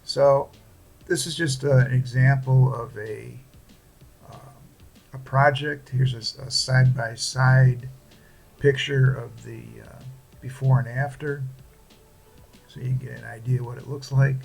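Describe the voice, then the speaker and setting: A man talking casually through a hobby project without a script, in a halting, plain-spoken way. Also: mid-range voice, fairly even intonation, creaky, slightly rough. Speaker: hobbyist presenter. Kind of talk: casual unscripted project walkthrough.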